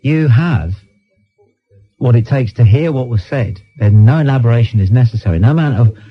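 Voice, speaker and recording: A man's voice: mid-range voice, animated, moderate pace, slightly rough, faint music behind. A British man hosting a late-night phone-in, arguing in a blunt, dismissive way and insistently repeating himself. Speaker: radio phone-in host. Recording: dull lo-fi radio recording.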